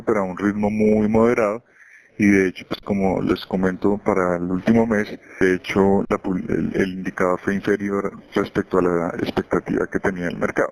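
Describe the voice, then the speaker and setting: A man with a Colombian accent giving financial commentary as an investment executive, in a measured explanatory way with frequent self-corrections. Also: on the low side, rough recording. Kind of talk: market commentary on a conference call.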